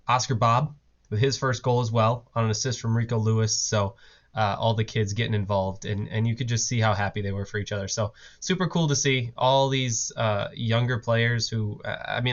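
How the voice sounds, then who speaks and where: A man hosting a casual solo fan podcast, his voice hoarse from a cough, talking in a rambling way with lots of fillers and restarts. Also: fairly low pitch, highs cut off. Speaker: podcast host. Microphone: desk mic, on video.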